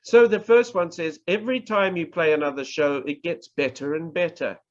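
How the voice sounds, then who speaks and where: A man with a New Zealand accent giving a public speaking workshop, talking in a measured, deliberate way and stressing words to demonstrate. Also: on the high side. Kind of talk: slow instructional presentation. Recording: dull lo-fi recording.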